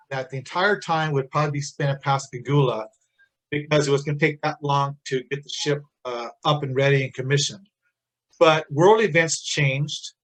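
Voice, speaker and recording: A man's voice: a little high, rough recording. A man in his sixties doing a casual interview, talking in a chatty, rambling way. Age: 60s. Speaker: interviewer, Navy veteran.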